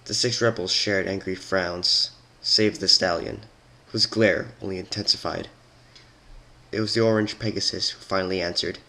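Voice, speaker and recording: A man's voice: sits low, fairly even intonation, moderate pace, sharp tone, low-quality recording. A man giving a dramatic, scripted reading of a story as its narrator.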